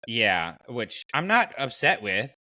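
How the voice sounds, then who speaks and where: A man's voice: medium pitch, very animated, takes it slowly, bright, forceful tone, dry recording. A man hosting a podcast in casual conversation, thinking aloud and giving his opinions as he goes. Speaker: podcast host. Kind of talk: casual podcast chat about fiction.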